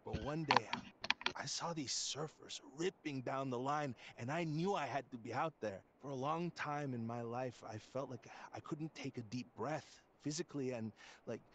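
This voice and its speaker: An actor playing a sweet, earnest young surfer dude in a video game, talking in breezy slang. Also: male voice, mid-range voice, normal speed, fairly bright tone, slight background noise, close to an everyday voice. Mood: tender, earnest. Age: young adult.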